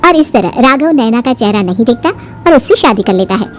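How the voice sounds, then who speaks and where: High-pitched animated woman storyteller. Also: fairly quick. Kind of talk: scripted story narration. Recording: music under the narration.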